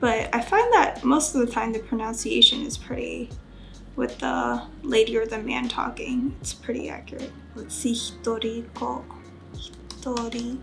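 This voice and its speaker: Higher-voiced woman, unhurried casual study chat. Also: creaky. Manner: self-conscious, careful when pronouncing foreign words. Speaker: study vlogger and Japanese learner. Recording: background music under voice. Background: music.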